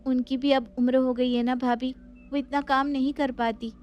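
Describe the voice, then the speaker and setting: A woman's voice: high voice, little pitch movement, normal speed, darkish tone, natural voice. A woman voicing a polite, considerate young daughter-in-law in a family story. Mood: pleading. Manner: polite, deferential.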